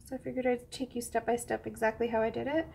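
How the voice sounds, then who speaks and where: A woman's voice: fairly high pitch, level tone, quick pace, gravelly, a little mellow, slight background noise. A woman with a head cold, sounding a bit sniffly, chatting casually through a drawing tutorial, rambling with many ums and sometimes dropping to a whisper. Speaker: coloring tutorial host.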